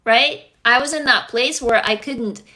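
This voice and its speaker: A woman's voice: on the high side, measured pace, sharp tone. A young woman from Russia, whose first language is Russian, hosting a livestream and talking in an earnest, blunt way, swearing casually and reading viewer comments. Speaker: livestream host.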